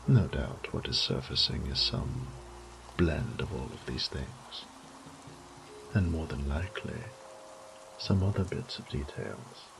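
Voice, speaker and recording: Man as a deep, gravelly, languid vampire lord. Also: takes it slowly, long pauses, background noise, heavily acted. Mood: musing, taunting. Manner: theatrical, ornate, taunting, often dropping to a breathy whisper. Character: suave, sadistic male vampire lord toying with his captive prey. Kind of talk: scripted horror audio roleplay monologue.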